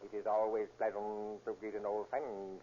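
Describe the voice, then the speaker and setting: An actor playing a suave, unflappable Chinese crime boss who speaks with ornate, mock-polite formality in 1930s radio style. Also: male voice, fairly low pitch, monotone, normal speed, dark tone, close to an everyday voice. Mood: smoothly sardonic. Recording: muffled, hissy 1930s radio recording.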